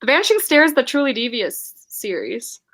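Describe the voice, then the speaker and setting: A woman's voice: high voice, sing-song, a little fast, a bit bright. A young woman hosting a casual live-stream book chat, giggly and scattered, reading viewer comments aloud. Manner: giggly, scattered, laughs often.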